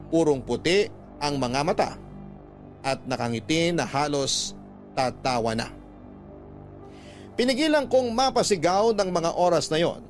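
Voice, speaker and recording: A man with a Filipino accent narrating a dramatic first-person story from a script. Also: on the high side. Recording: music bed behind narration.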